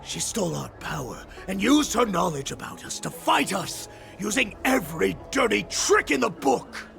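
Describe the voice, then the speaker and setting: An actor playing a savage, fanatical wolf-like raider in a video game, with a snarling, theatrical delivery. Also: male voice, sits high, sing-song, faint music behind, heavily acted. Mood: bitter, outraged. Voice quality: heavily gravelly, snarling. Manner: slow, theatrical, swinging into shouts. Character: savage wolfish raider, bloodthirsty, fanatical servant of his lord. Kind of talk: dramatic video game voice acting.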